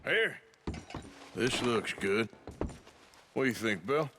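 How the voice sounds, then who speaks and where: A man playing a gruff, sardonic, plain-spoken American outlaw in a western video game. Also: mid-range voice, animated, slow pace, noisy background. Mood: low, watchful. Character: gruff, sardonic male outlaw gunslinger, loyal but doubting. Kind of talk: naturalistic video game acting.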